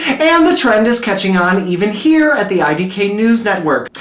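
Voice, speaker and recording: A woman's voice: mid-range voice, sing-song, normal speed, close to an everyday voice. A woman playing a mock-serious female anchor on a parody news show, in an announcer-like delivery. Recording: roomy sound with music behind.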